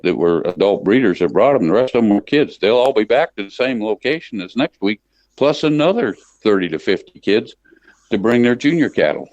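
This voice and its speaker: Very deep-voiced older American man. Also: a little mellow. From Michigan, United States. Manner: plain-spoken, folksy, halting with repeated words. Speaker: interview guest, livestock association chief executive. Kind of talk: conversational interview, reminiscing. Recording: dull lo-fi line in a roomy space.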